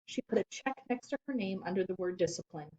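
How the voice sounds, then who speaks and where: A woman reading children's fiction aloud as expressive scripted narration. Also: medium pitch, fast talker, highs cut off. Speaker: storybook reader.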